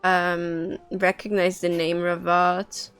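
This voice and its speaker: A woman's voice: lowish voice, monotone, takes it slowly, a bit bright, smooth, soft background music. A woman streaming a game, reacting casually and musing aloud in a hesitant way. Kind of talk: casual live reaction commentary.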